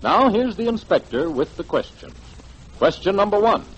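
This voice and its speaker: A man announcing formally and emphatically in the style of 1940s radio promotion, with a husky voice. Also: high-pitched, sing-song, moderate pace. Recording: dull, lo-fi 1940s radio broadcast.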